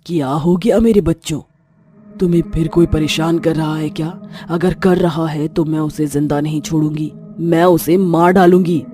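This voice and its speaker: A woman's voice: low voice, steady tone, normal speed, mellow, soft background music, voice pushed down, natural voice. Woman voicing a fierce, menacing ghost witch mother. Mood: threatening.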